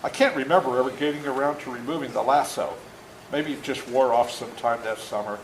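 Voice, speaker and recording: A man in his seventies reading a story aloud to children in a folksy, interactive, animated way, as an author before a young audience. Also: mid-range voice, a little fast. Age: 70s. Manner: folksy, interactive, acts out moments. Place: indoor room before a school audience. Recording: roomy echo, faint background noise.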